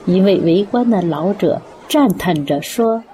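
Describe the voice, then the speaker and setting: A woman's voice: fairly low pitch, expressive, unhurried, warm tone, some chatter behind, slight background noise. A woman reading scripted narration for a Chinese radio drama.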